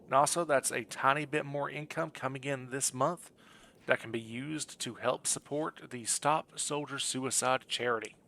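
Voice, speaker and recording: A man's voice: mid-range voice, fairly even intonation, moderate pace, vocal fry, sharp tone. A man livestreaming a game, chatting casually in a friendly, rambling way as he thinks aloud. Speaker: game streamer. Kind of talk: casual livestream chat over gameplay.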